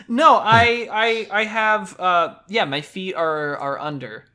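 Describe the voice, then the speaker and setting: A man co-hosting a podcast in casual chat, rambling along with stammered restarts and quick laughs. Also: sits high, sharp tone. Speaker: podcast co-host.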